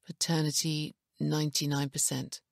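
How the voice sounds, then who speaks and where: A woman voicing a troubled, grave husband in an audiobook, speaking in a subdued, flat way. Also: sits low, normal speed, gravelly, no room sound, studio quality. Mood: somber, firm. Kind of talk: audiobook character dialogue.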